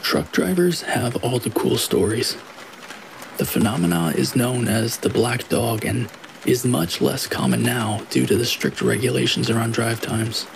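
A man's voice: mid-range voice, measured pace, vocal fry. A man narrating scary stories in a scripted storytelling read, with rain falling behind him. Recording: steady rain noise behind the voice.

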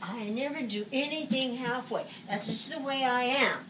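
A woman's voice: high voice, lightly acted. A woman in her seventies playing a flamboyant, agitated psychic who scolds spirits in a dramatic stage monologue. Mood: indignant. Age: 70s. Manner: dramatic, exasperated, halting muttering to outbursts. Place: small indoor stage set. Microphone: camera a few metres away. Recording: distant camera recording, noisy room.

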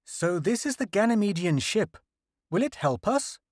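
A man's voice: high-pitched, big pitch swings, moderate pace, no echo, clean studio sound, pitched above the performer's own voice. A man voicing an anxious male political leader who presses for answers in a read-aloud story. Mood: inquisitive.

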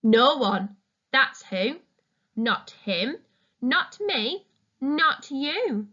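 Very slow, animated woman reading a picture book. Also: high voice, muffled recording. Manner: deliberate, addressing young listeners with questions. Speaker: storytime reader, teacher. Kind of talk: expressive children's picture-book read-aloud.

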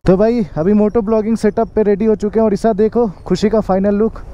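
A man's voice: high voice, level tone, fairly quick, dark tone, muffled recording, slight background noise. A young man hosting a casual travel vlog outdoors, chatting to viewers as guys. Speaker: motovlogger host. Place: outdoors on a hill road by a car. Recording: music over outdoor vlog audio.

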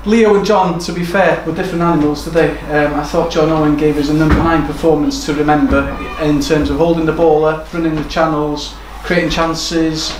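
A man's voice: fairly high pitch, level tone, fairly quick, few pauses. A man in his thirties, a football manager giving an earnest post-match interview in a small room. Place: small room indoors overlooking a football pitch. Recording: echoey room with background noise.

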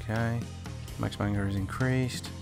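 A man's voice: lowish voice, fairly even intonation, normal speed, vocal fry. A man giving casual, sweary gameplay commentary, half talking to himself as he plays. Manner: sweary, muttering to himself while playing. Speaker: video game reviewer playing. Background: game music with guitar.

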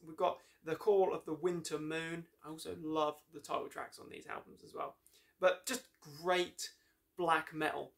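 A man's voice: on the high side, fairly bright tone. A young man giving a casual, enthusiastic review to camera, rambling a little and correcting himself as he goes. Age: young adult. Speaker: music reviewer, host. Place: small room lined with shelves, indoors.

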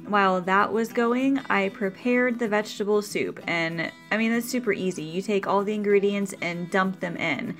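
A woman's voice: mid-range voice, moderate pace, hardly any pauses, fairly bright tone, soft background music. A woman hosting a cooking video, explaining her meal prep step by step in a chatty, practical voice-over.

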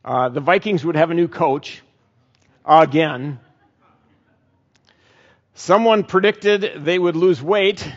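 A middle-aged man preaching a sermon and leading a closing prayer, slow and earnest with frequent pauses, on a headset mic. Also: fairly high pitch. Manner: slow, earnest, pausing often. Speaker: pastor. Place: church stage, indoors. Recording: dull church recording with long reverb.

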